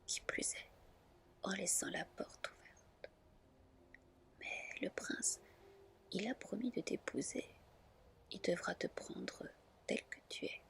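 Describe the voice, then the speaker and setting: A woman's voice: medium pitch, lively intonation, slow pace, creaky, long pauses, fairly bright tone, exaggerated voice. A woman voicing a stern, reproachful dragon mother in a whispered storybook reading. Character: stern dragon mother, reproachful of her ungrateful adopted daughter.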